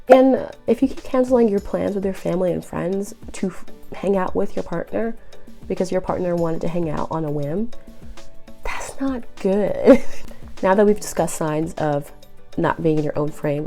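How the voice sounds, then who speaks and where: Raspy woman with a cold. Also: fairly low pitch, mellow. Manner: earnest, encouraging, addresses viewer as boo. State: sick with a cold, nasal and raspy. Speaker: relationship advice vlogger. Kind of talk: direct-to-camera advice vlog.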